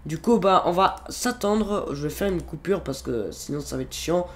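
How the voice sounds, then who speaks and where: Jeune homme enrhumé, tutoriel décousu. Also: male voice, on the high side, expressive, normal speed, a bit bright. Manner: rambling, many fillers and restarts. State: has a cold. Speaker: tutorial youtuber. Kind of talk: casual screen-recorded tutorial. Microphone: computer microphone.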